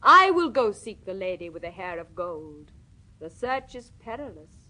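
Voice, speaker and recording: A woman's voice: mid-range voice, very animated, unhurried, pushed and bright, exaggerated voice, low-quality recording. A woman voicing a bold, loyal young knight in a storybook legend, declaiming solemnly with passion. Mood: impassioned, resolute.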